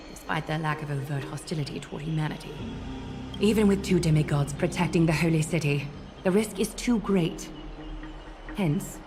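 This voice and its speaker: A regal demigod woman in a fantasy game. She speaks low and formally, with solemn, unexpressive composure, over clear game music.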